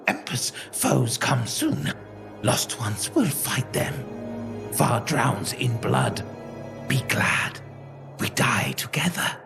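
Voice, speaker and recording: A man's voice: medium pitch, sing-song, slow, gravelly, music in the background, voice pushed up, exaggerated voice. A man voicing a savage, childlike warrior in an audio drama, growling in broken, primitive speech. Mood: grimly certain. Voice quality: growling, guttural. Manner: broken, primitive speech in short clipped sentences. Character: feral, childlike, savage male neophyte warrior, hulking and bloodthirsty. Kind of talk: dramatic audio story character acting.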